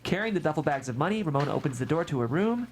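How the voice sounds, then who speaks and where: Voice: medium pitch, sing-song, racing, a little hiss or hum. A narrator reading scripted stage directions at a table read of a screenplay.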